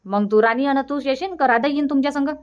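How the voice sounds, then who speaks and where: A woman voicing a fond, gently nagging older village mother in a cartoon comedy, colloquial and insistent, with a rural Vidarbha Marathi accent. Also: high-pitched, fast talker, natural voice. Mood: fond, agreeable.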